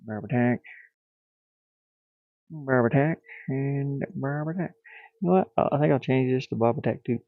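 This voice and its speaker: A man thinking aloud on a casual livestream as he works, muttering in a halting, absent-minded way. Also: mid-range voice. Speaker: livestreamer, hobby game developer. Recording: boxy echo, thin phone-like sound.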